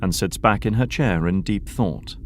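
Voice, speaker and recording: A man narrates an audio drama from a script. His voice is on the low side. A faint noise runs behind the narration. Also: moderate pace.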